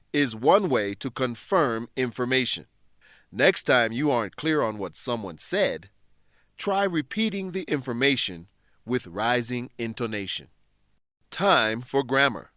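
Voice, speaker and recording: A man presenting an English lesson in scripted narration, explaining things in a measured way with careful enunciation. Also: medium pitch, fairly bright tone, no echo, studio quality.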